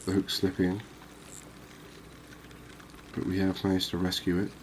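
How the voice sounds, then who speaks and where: A man giving quiet, cozy ASMR commentary on a game, unhurried and thinking aloud. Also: sits low, monotone, slight background noise, poor audio. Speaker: gaming video host.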